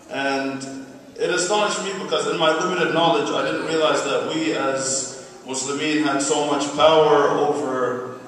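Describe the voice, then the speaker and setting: A man giving an earnest, measured personal speech at a public event. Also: mid-range voice. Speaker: speaker at an awareness event. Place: large hall at an Islamic center. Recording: very echoey hall from far off, faint noise.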